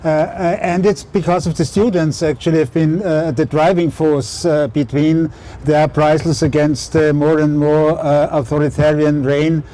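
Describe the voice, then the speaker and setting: A man moderating a public talk outdoors, halting and full of fillers. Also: on the high side, little pitch movement, warm tone. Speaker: event moderator. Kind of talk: public talk on a city tour. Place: outdoor city square.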